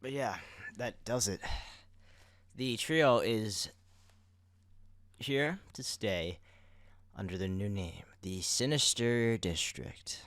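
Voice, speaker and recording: A man's voice: fairly low pitch, takes it slowly, long pauses, fairly bright tone, no room sound. A man talking casually as co-host of a podcast, addressing listeners in everyday conversation. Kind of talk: casual chat.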